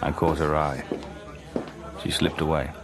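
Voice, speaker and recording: An actor playing a wry, weary and guarded Cold War spy in a radio drama, with a dry, understated delivery. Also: male voice, extremely low pitch, level tone, unhurried, crowd noise. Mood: brooding, reflective. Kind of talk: radio spy drama acting with first-person narration.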